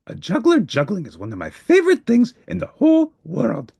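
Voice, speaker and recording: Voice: mid-range voice, wildly swooping pitch, normal speed, gravelly, dark tone, husky, dry recording, dull audio, voice pushed up, full character voice. A performer voicing an eager, anxious, polite furry monster for a storybook, with formal, dramatic exclamations. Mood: excited. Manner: exclaiming, formal, dramatic wording without contractions. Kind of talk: storybook character voice.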